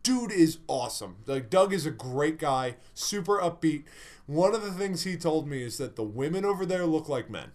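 A man's voice: fairly high pitch, big pitch swings, moderate pace, a little mellow. A man casually telling a crude story as a podcast host, rambling with many fillers. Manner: rambling, filler-heavy, laughing often.

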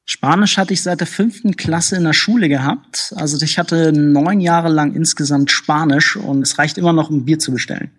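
A young man from Hamburg, a guest in a casual interview, talking in a relaxed, rambling way with many fillers. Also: a little high, normal speed, hardly any pauses, husky. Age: young adult.

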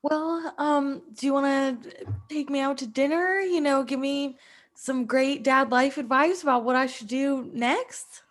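High-voiced, anxious young woman acted by a woman. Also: a little slow. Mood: grateful. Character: anxious, earnest young woman seeking reassurance. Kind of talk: improv comedy scene.

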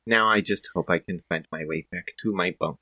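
A man's voice: deep voice, fairly quick, pushed and bright, no room sound, full character voice. A performer playing a polite, reserved female doctor in a sci-fi tabletop roleplay, with formal, hesitant wording. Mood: polite, earnest. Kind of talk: improvised in-character tabletop roleplay.

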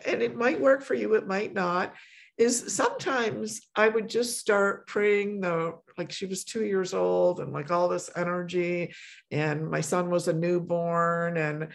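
A middle-aged woman hosting a podcast, talking in a relaxed, chatty way. Her voice has a gravelly edge. She keeps stopping and restarting her sentences and fills the gaps with words like "you know.". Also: fairly low pitch, a little slow. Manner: chatty, many fillers and restarts. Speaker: podcast host. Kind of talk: casual conversation.

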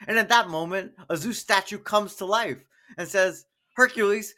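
A man with a bright, crisp-edged voice pitched a little high co-hosts a recap podcast. He narrates plot points wryly and leans theatrically into his punchlines. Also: moderate pace. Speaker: podcast co-host. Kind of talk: animated episode recap with co-host.

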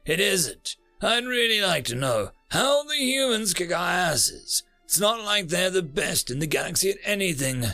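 A man voicing an agitated, proud, hot-tempered male bird-like alien pilot who speaks in loud, exasperated outbursts. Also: sits high, big pitch swings, normal speed, few pauses, no echo, soft background music, pitched above the performer's own voice, full character voice. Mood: frustrated.

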